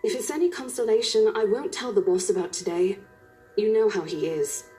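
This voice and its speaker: A woman's voice: medium pitch, animated, brisk, dark tone, faint music behind, natural voice. A woman voicing a caring, firm young estate agent in a visual novel game, earnest and insistent as she coaxes a coworker. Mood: reassuring.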